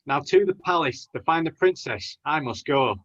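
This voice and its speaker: Man as lovestruck young hero, fairly high earnest voice, declaimed pantomime rhymes, slightly dull recording. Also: expressive, moderate pace, fairly bright tone. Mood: eager. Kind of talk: rhyming amateur pantomime acting.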